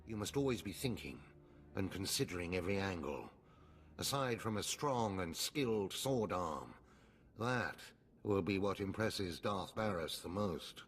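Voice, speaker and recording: An actor playing a stern, haughty older Sith overseer in a video game, clipped, lecturing and condescending. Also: male voice, low-pitched, animated, unhurried. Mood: grave, lecturing. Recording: loud music behind.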